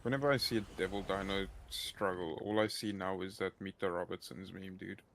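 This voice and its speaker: A man's voice: deep voice, fairly even intonation, a little fast, vocal fry, a bit bright, slight background noise. A man chatting casually during a game, slangy and prone to swearing. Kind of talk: casual gaming chat.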